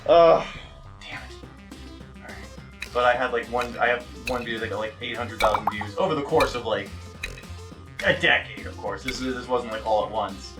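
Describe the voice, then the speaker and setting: A man rambles casually as he plays a game, with music clearly behind him. His talk is halting, circling and peppered with swearing, in the loose style of a let's play host. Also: medium pitch, recorded from a distance. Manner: foul-mouthed, rambling, halting. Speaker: gaming video host.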